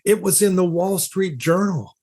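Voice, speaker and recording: A man speaking as an expert guest in an interview, explaining emphatically with halting, repeated starts to his sentences. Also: sits high, darkish tone, slight background noise. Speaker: interview guest, philosopher of science and author.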